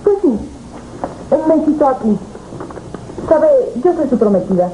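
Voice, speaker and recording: Guarded young fiancee, curt, 70s soap, noisy old tape. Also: female voice, sits high, sing-song, measured pace. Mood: guarded, piqued. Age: young adult. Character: proud, guarded young fiancée of an important man. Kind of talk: 1970s telenovela acting.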